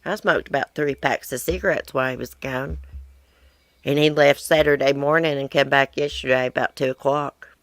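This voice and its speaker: A woman's voice: very deep voice, steady tone, moderate pace, no echo. A woman hosting a casual live chat from her porch, reading viewer comments in a blunt, rambling way, sounding wheezy. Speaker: livestream host. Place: outdoor porch.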